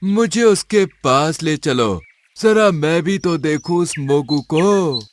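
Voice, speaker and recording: An actor voicing a brash, curious male bear in a cartoon, speaking in a loud, excited way. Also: fairly high pitch, slow, slight background noise. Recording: cartoon with music behind.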